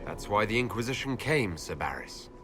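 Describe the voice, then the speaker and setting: An actor playing a resolute, diplomatic leader in a video game, speaking directly and earnestly. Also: male voice, lowish voice, very animated, normal speed, music in the background. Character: resolute, diplomatic young hero leading a faction. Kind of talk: video game dialogue acting.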